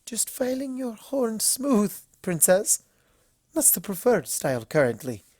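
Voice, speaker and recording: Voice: mid-range voice, sing-song, measured pace, darkish tone, dry recording, pitched above the performer's own voice. A performer voicing a nervous female spa pony in a storybook reading, politely straining to sound composed. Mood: strained composure.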